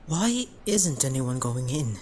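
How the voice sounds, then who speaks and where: A performer voicing a casual, excitable young schoolboy wizard in a fan audio drama. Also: mid-range voice, slow, slight background noise. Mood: puzzled.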